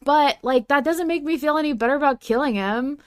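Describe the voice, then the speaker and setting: A woman hosting a casual fan podcast, talking in an animated, opinionated, conversational way. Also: sits high, a little fast. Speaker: podcast host.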